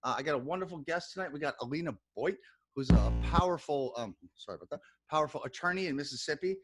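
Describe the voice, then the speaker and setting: A man's voice: on the high side, expressive, quick pace, over music, low-quality recording. A man hosting a podcast, talking enthusiastically in a rambling, casual way with plenty of fillers. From New York. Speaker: podcast host.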